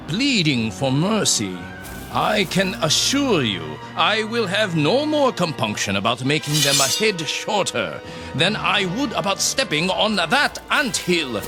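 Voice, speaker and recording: A merciless military captain in a radio drama, his fairly high voice swinging dramatically from menace to command. He is contemptuous and deliberate, taking his time over each phrase. Music runs beneath the speech. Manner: commanding, cold, menacing, deliberate emphatic delivery. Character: ruthless, contemptuous Japanese army captain, a man. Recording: music under the voice.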